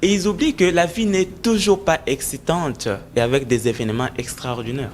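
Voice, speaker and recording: Man from the Congo, author in interview, earnest, hesitant, slightly high voice. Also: moderate pace, a little hiss or hum. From Democratic Republic of the Congo. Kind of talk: conversational interview.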